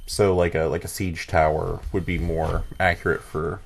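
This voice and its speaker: A man's voice: low-pitched, flat delivery, moderate pace, creaky. A man co-hosting a podcast, talking casually, recorded with a little background noise. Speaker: podcast co-host. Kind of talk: casual chat. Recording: faint background noise with clicks.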